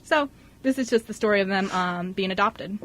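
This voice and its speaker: A woman's voice: medium pitch, brisk, a bit bright, poor audio. A woman co-hosting a radio show in casual, slangy, joking chat. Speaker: radio show co-host and scriptwriter.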